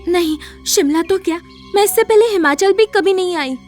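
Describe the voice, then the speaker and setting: A troubled young college girl in an audio drama. Her voice is extremely high and earnest, full of wondering, with music clearly playing behind it. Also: normal speed, darkish tone.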